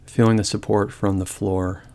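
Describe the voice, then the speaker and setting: A man with a lowish voice leads a guided meditation. His delivery is calm and gentle. He speaks in measured phrases, with long silences between them. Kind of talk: guided body scan meditation.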